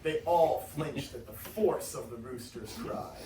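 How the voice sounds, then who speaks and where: A man's voice: mid-range voice, unhurried, warm tone, natural voice. A teenage boy playing the narrator of a folk tale in a scripted reader's theater reading. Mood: storytelling. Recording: roomy, distant classroom recording, faint noise.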